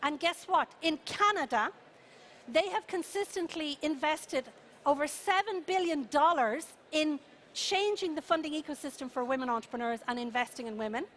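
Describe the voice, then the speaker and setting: An Irish-accented woman giving a motivational conference keynote, speaking emphatically with rhetorical questions and deliberate repetition, in a rallying manner. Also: high-pitched, a bit bright. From Ireland. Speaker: keynote speaker, founder and CEO. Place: conference venue, speaking to an audience. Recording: clearly noisy live conference stage.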